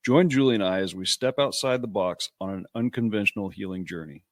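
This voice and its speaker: A man with a very low, deep voice co-hosts a nursing podcast. He explains things in a casual, halting way, often restarting mid-sentence. The recording is dry and close, with little room sound. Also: no echo. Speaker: podcast co-host, registered nurse.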